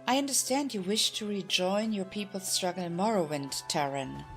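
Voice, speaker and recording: A woman's voice: mid-range voice, normal speed, faint music behind, close to an everyday voice. A woman voicing a courteous temple archpriest in a fantasy audiobook, speaking formally and graciously. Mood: regretful. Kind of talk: audiobook character reading.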